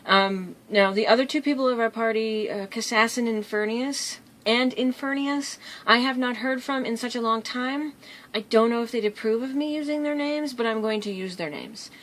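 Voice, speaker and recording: A woman's voice: on the high side, moderate pace, fairly bright tone. A woman vlogger telling stories in a casual, rambling way, easily drifting off track. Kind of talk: casual storytelling vlog.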